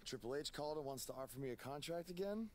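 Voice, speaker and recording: A man's voice: a little high, expressive, fast talker, slight background noise, pitched above the performer's own voice, lightly acted. A man playing a down-on-his-luck young pro wrestler in a video game, wary and grumbling. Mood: weary, skeptical. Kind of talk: video game cutscene acting.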